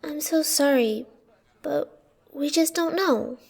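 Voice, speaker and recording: A woman's voice: high voice, slow pace, vocal fry, a little mellow, exaggerated voice. A woman playing an earnest young court deputy in an audio roleplay, deferential and apologetic. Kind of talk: audio roleplay drama acting.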